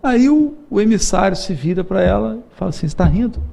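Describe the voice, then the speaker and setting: A man's voice: sits high, sing-song, fast talker, creaky, dark tone. A middle-aged man with a Brazilian Portuguese accent giving a seminar lecture, telling stories playfully, laughing often and acting out the voices he quotes. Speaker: spiritist lecturer.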